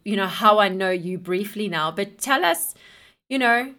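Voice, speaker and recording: A woman's voice: medium pitch, expressive, a little slow, pushed and bright. A woman hosting a podcast, talking casually and rambling with lots of fillers and restarts. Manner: rambling, full of "you know" and restarts. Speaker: podcast host. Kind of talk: casual interview chat.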